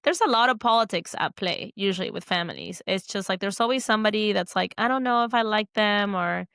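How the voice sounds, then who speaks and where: A woman's voice: mid-range voice, fairly quick, a bit bright, clean tone, no room sound, studio quality. A woman co-hosting a podcast in casual chat, talking freely with lots of fillers and restarts. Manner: chatty, many fillers and restarts. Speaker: podcast co-host.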